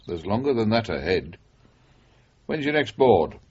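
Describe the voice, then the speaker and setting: Husky, frank British ex-policeman. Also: fairly low pitch, sing-song, a little slow, darkish tone, full character voice. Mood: earnest, persuasive. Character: frank, concerned former policeman advising a younger friend. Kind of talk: 1980s British radio drama acting. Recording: dull, band-limited radio broadcast.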